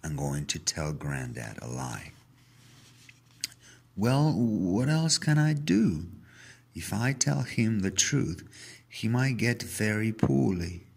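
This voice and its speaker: Husky man reading a story, very animated. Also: lowish voice, takes it slowly. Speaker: storybook narrator. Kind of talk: expressive read-aloud of a children's story, first person.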